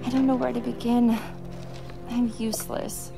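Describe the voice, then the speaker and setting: An actress playing a determined, anguished heroine in a horror audio drama, with slow, intense dramatic delivery. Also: female voice, a little high, flat delivery, creaky. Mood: searching, despondent. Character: determined, anguished heroine seeking a lost man. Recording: loud music behind.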